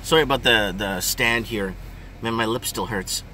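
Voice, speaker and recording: A middle-aged man, rambling casually to viewers in an animated way and repeating his questions emphatically. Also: medium pitch, moderate pace. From Ontario, Canada. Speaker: vlogger. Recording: engine and road noise in car.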